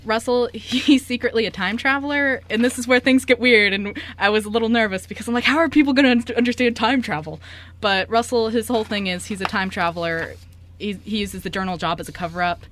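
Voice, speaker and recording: A woman's voice: medium pitch, fairly bright tone. A woman co-hosting a radio show in casual chat, giggly and informal, rambling as she explains. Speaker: radio show co-host and writer. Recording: background room noise.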